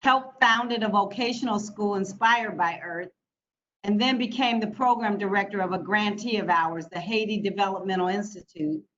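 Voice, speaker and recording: An American woman delivers a prepared formal speech in a deliberate, emphatic manner with rhetorical repetition. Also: mid-range voice, fairly bright tone. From United States (Michigan). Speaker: speaker from a funding organization. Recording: dull lo-fi audio with background noise.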